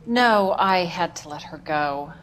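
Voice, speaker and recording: An actress playing a composed, businesslike dress shop manager in a video game, polite and measured. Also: female voice, on the low side, expressive, fairly bright tone, low-quality recording. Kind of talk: video game cutscene acting. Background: faint music.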